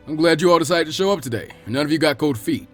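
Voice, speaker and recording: A man's voice: on the high side, rapid-fire, soft background music, natural voice. A man voicing an amused, easygoing adult ninja teacher in fan fiction. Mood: amused, encouraging. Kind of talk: acted dialogue in fan fiction audiobook.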